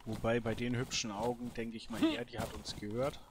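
A man hosts a casual solo let's play. His mid-pitched voice mutters short remarks to himself as he goes. The recording has clear background noise with clicks. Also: sing-song, a little fast. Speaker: gaming video host.

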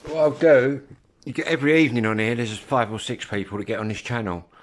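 A man in his fifties with an English accent, chatting casually and haltingly in an informal, rambling vlog. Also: medium pitch. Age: 50s. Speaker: CB radio hobbyist vlogger. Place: room at home. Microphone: phone at arm's length.

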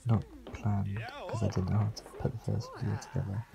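A young man, slightly croaky, rambling casually as he comments on his gameplay. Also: lowish voice, flat delivery, a little fast, loud music behind the voice. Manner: rambling, chatty, frequent fillers. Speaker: let's play host.

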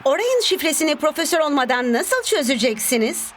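A woman's voice: high-pitched, big pitch swings, fairly quick, slight background noise. A woman playing a pushy, persistent sports reporter in a cartoon interview. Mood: insistent.

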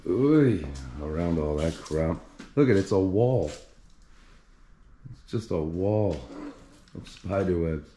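Low, slow man exploring a dark basement. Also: lively intonation, slight background noise. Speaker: urban explorer filming a tour. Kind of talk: hushed exploration vlog narration. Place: dark flooded basement and cluttered garage of an abandoned house.

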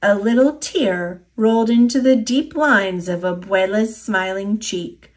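An American woman reading children's storybooks aloud in an expressive, deliberate storytelling manner. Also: medium pitch. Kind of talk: scripted read-aloud narration.

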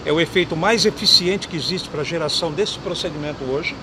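A man's voice: on the high side, a little fast. A man in his sixties explaining equipment technically outdoors, often tagging sentences with a checking question. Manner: explanatory, adds "tá?" tags. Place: outdoor parking lot. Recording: clear street noise behind.

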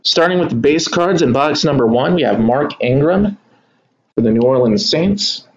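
A man's voice: medium pitch, moderate pace, dull audio. A man hosting a live sports card break, talking casually to his viewers as he opens boxes at a table indoors. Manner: relaxed, chatty, addresses viewers as y'all. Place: indoor room at a kitchen table.

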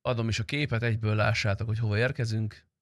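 A man's voice: fairly low pitch, flat delivery, dry recording. A young adult man chatting casually and slangily on a game livestream, close to the microphone. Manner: informal, slangy, swearing. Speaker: game streamer.

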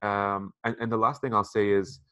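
A man's voice: fairly low pitch, flat delivery, moderate pace, a bit bright, lo-fi sound. A man in his thirties giving casual advice over a video call through a headset, talking conversationally and often repeating words as he goes. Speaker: interview guest. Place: apartment room by a window. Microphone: headphones on a video call.

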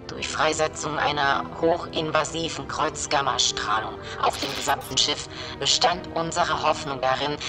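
Woman as grave scientist, formal measured report, radio drama, prominent music. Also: a bass voice. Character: grim female scientist reporting a failed experiment. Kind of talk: recorded log in sci-fi horror radio drama. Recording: loud music behind the voice.

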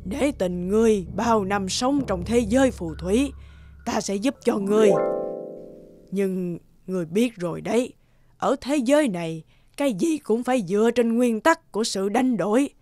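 A woman's voice: medium pitch, expressive, moderate pace, mellow, soft background music, lightly acted. A woman playing a stern old witch leader in a cartoon, cold and commanding, lecturing imperiously. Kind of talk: cartoon dubbing.

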